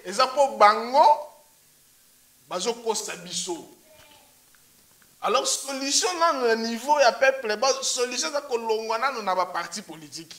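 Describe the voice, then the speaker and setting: A man's voice: very high voice, bright, forceful tone. A man making emphatic points in a political discussion, repeating rhetorical questions.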